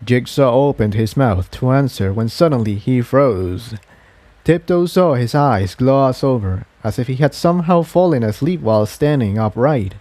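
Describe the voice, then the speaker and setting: A man narrates adventure fiction from a script. His voice is mellow and relaxed at a middling pitch, with a measured storyteller's delivery. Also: no echo. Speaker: narrator, audiobook reader.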